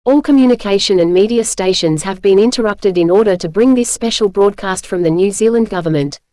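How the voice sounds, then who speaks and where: A woman reading a scripted government alert as an emergency broadcast announcer, formal and measured in delivery. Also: mid-range voice, mellow.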